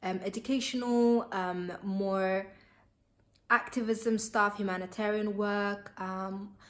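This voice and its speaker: A woman's voice: mid-range voice, steady tone, slow, fairly bright tone. A young woman, a Somali speaker, chatting to camera as a vlogger in a bubbly, chatty way with many fillers. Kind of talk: casual chat to camera.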